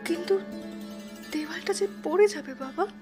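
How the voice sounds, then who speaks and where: Poor little village girl, high-pitched, plaintive, dutiful, audio drama, music underneath. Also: moderate pace. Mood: anxious, insistent. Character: ten-year-old village girl, dutiful, anxious poor daughter.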